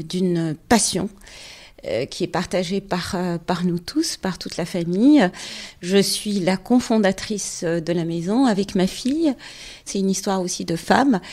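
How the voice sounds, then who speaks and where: Unhurried middle-aged woman from Morocco. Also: medium pitch, a little mellow. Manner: measured and unhurried, pausing often to find words. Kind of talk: casual interview, explaining her trade.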